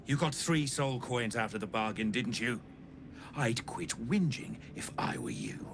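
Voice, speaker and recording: An actor playing a gruff, wry game trader who tells grim tales in a blunt, folksy way. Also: male voice, medium pitch, huge pitch swings, measured pace, heavily acted. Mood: curt, dismissive. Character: gruff unhurried male trader, sardonic messenger telling grim soul stories. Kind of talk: video game character dialogue. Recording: constant noisy ambience behind voice.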